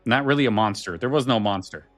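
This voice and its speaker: A man hosting a podcast in casual chat, halting a little and correcting himself as he goes. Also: fairly low pitch, a bit bright, dry recording, soft background music. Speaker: podcast host. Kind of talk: casual chat and show sign-off.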